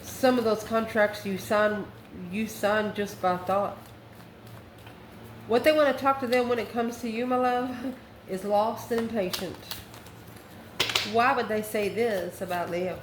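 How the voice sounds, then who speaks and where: The recording is echoey, with clear noise behind the voice. A woman speaks at a medium pitch as she hosts a tarot reading. She talks casually in mystical terms and addresses her listener directly and with affection. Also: fairly even intonation, a little slow. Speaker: tarot reader, host. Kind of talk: casual spoken tarot card reading to camera. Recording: echoey room, clearly noisy background.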